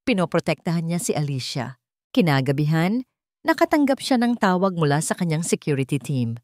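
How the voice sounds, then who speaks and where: A woman's voice: sits low, sing-song, normal speed, darkish tone, no room sound, clean studio sound. A woman narrator reading a scripted romance story in a dramatic storytelling style.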